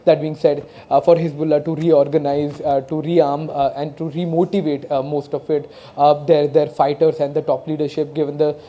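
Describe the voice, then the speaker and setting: A man with an Indian accent giving live analysis as a news correspondent, speaking haltingly and often repeating himself. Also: on the high side, flat delivery, muffled recording. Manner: halting, hesitant delivery with frequent repeated words.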